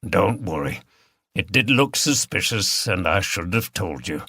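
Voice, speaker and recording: A man's voice: sits low, animated, unhurried, gravelly, sharp tone, breathy edge, dry recording, exaggerated voice. A man voicing a protective, contrite husband with an earnest, reassuring manner in an audiobook story. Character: protective, contrite husband who runs an inn.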